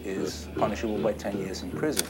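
A man's voice: fairly low pitch, brisk, a crowd in the background. Emphatic man in an archive clip. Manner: emphatic, restarting. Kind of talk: archive interview statement.

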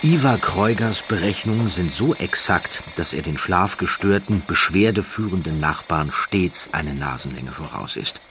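A man narrating a scripted documentary story in a measured, dramatic way with deliberate pauses. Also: deep voice, expressive, noisy background.

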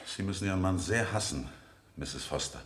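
A relentless courtroom lawyer with a lowish voice. He questions formally and pointedly, full of sardonic insinuation. It has the sound of a vintage radio play in an echoey room. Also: animated, moderate pace, a bit bright. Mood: accusing. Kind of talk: 1970 German radio play acting.

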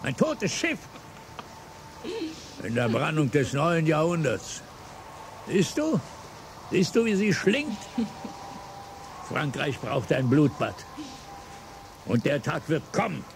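An actor playing a bitter, drunken former revolutionary in a radio play, declaiming between low mutters and outbursts. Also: male voice, sing-song, slow, creaky, pauses often, slight background noise, exaggerated voice. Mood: bitter raving. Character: bitter, drunken old male revolutionary, brooding and wild. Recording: radio drama with music underneath.

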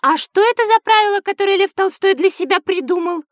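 A woman's voice: very high-pitched, fast talker, no echo, clean studio sound. A woman playing an inquisitive, earnest, kind-hearted child with a childlike manner in a children's audio drama. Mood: curious.

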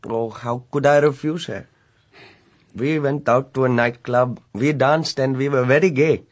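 Male actor as rueful young prince, radio drama: mid-pitched, naive, formal, a little hesitant. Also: steady tone, unhurried, close to an everyday voice.

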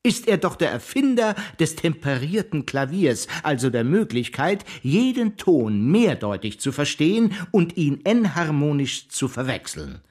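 An actor playing a provocative, mocking arch-conservative scholar who lectures pompously and rhetorically in a radio drama. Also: male voice, fairly high pitch, sing-song, measured pace, few pauses, darkish tone. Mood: provocative, declaiming. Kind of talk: German radio drama acting.

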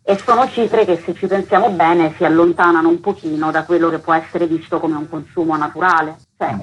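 A woman's voice: sits low, a little hiss or hum, rough recording. A woman explaining things earnestly and emphatically as an interview guest. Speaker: guest, theatre author and actress.

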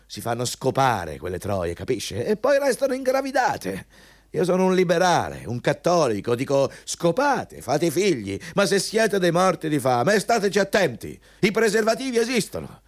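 A pompous, paranoid boss interviewing someone in a satirical radio play. His high voice leaps about with theatrical swings. He hectors and sneers, condescending and emphatic, a bigot sure of himself. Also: big pitch swings, moderate pace. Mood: contemptuous.

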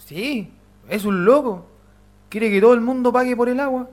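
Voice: mid-range voice, moderate pace, darkish tone, slight background noise, lightly acted. An actor playing a bemused ordinary man recounting an outrage in radio drama dialogue. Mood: incredulous.

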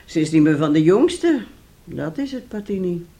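An actress in a radio drama playing a bitter, middle-aged wife who nags with reproach and theatrical sarcasm. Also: female voice, on the low side, big pitch swings, normal speed, dark tone. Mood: dry, catty. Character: bitter, nagging, mocking wife of a failed composer.

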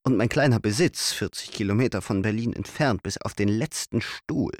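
An actor playing a vain theatre director in a radio play, speaking in a declamatory, theatrical way. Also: male voice, lowish voice, normal speed, slight background noise, close to an everyday voice. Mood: recounting.

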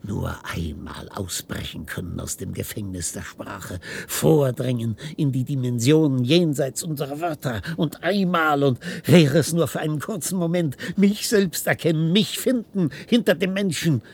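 An actor playing an obsessive, tormented scientist in a German radio play, theatrical and halting, moving between hushed whispers and outbursts. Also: male voice, a little high, vocal fry, breathy edge. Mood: anguished longing. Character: obsessive, tormented male linguist scientist, desperate and defiant.

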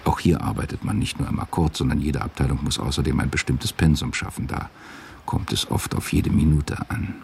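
A man's voice: extremely low pitch, fairly even intonation, fairly quick, vocal fry, a little hiss or hum. A man giving scripted literary narration as the narrator of a radio drama.

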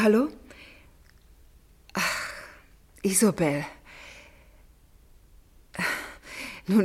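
An actress playing a troubled, suspicious young lady of the house in a German radio play, with a husky, breathy voice and hesitant, restrained delivery. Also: medium pitch. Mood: polite, subdued.

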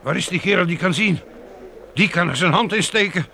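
A man's voice: high voice, a little fast, creaky, pushed and bright, a little hiss or hum, heavily acted. An actor playing a coarse, menacing, hungry blind man in a radio drama. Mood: demanding. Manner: coarse, bossy.

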